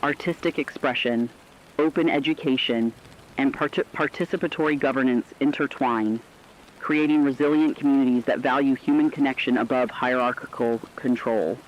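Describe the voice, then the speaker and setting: A man's voice: mid-range voice, normal speed, a little hiss or hum, close to an everyday voice. Man as an earnest radio correspondent, muffled sound. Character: earnest radio news correspondent in a dystopian broadcast. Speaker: radio news co-anchor. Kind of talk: scripted radio news narration.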